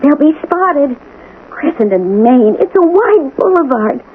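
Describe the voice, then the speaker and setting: High-pitched frightened wife. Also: female voice, sing-song, measured pace. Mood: alarmed. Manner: urgent, emotional, pleading. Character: frightened, pleading wife of a nervous man. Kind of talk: 1980s radio drama acting. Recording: tinny, noisy old radio recording.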